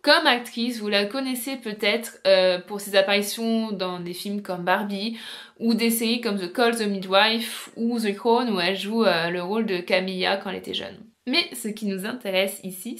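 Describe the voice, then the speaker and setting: A woman's voice: medium pitch, moderate pace, a bit bright. A woman presenting an informal video essay as a book vlogger, chatty and explanatory, speaking straight to her viewers.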